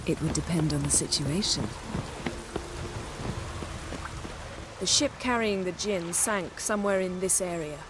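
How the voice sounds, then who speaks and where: An actress playing a proud, dryly teasing sorceress in a fantasy video game, poised and imperious with formal phrasing. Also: female voice, on the low side, normal speed, noisy background, close to an everyday voice. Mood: brisk, commanding. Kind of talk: video game cutscene dialogue.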